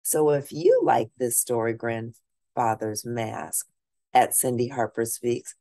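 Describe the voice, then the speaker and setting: A woman teacher reading a children's picture book aloud at a deliberate pace, pausing to ask her listeners questions. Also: very deep voice, dark tone, no room sound, clean studio sound.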